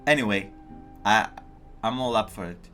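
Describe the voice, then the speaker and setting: A man's voice: on the low side, moderate pace, pushed and bright, soft background music. A man giving casual reaction commentary on a video game playthrough, sometimes reading lines aloud. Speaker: gaming streamer reacting to a story playthrough.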